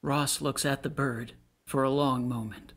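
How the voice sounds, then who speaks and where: A man's voice: medium pitch, steady tone, a little slow. A man reading stage directions as scripted narration for a play.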